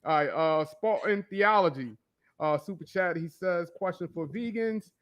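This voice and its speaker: A man with a fairly high voice moderates an online debate. He pauses often on fillers while reading viewer comments aloud to the panel. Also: fairly bright tone, muffled recording. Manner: hesitant, many "uh" fillers.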